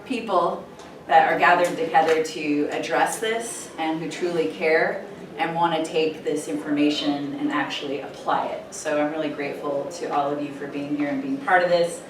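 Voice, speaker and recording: A woman's voice: sits low, steady tone, moderate pace. A woman giving a conference presentation, projecting her voice in an earnest, engaging way. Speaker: conference presenter, program manager. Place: conference hall. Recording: echoey hall, distant mic, room noise.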